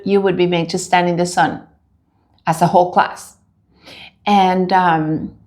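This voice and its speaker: A woman in her seventies with a Dominican Spanish accent, telling her life story as an interview guest in a measured, reflective way. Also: mid-range voice, a little mellow. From Dominican Republic. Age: 70s. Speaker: podcast guest.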